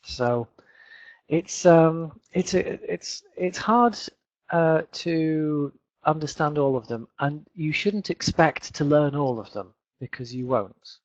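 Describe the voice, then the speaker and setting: A man in his thirties from Leeds with a Northern English accent, teaching an online English lesson in a chatty way, repeating words and filling pauses. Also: a little high, no echo. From England. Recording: dull lo-fi webinar audio.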